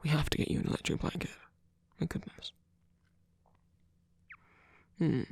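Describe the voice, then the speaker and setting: A performer voicing a sweet, doting male vampire boyfriend in a breathy, hesitant ASMR whisper. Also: no echo, natural voice. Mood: tender, reassuring. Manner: hesitant, halting, rambling, with soft filler murmurs. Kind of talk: ASMR roleplay, soft whispered comforting.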